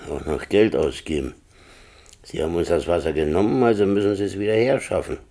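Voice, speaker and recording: Very deep, husky old grumbler. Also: male voice, fairly quick, mellow. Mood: gruff, bitter. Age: 70s. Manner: grumbling, curt, muttering. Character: stubborn, grumbling 72-year-old village man, proud and gruff. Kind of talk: German radio play acting.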